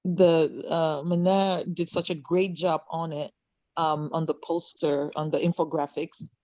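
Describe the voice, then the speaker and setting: A woman's voice: low voice, steady tone, measured pace, dark tone, no echo, dull audio. A woman from Cameroon speaking on a video call panel, impassioned, full of fillers, restarts and rhetorical questions.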